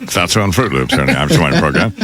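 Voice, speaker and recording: A man hosting a morning radio show with loose banter, speaking in a halting, drawling, needling manner. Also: rumbling low, big pitch swings, a bit bright. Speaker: radio talk show host.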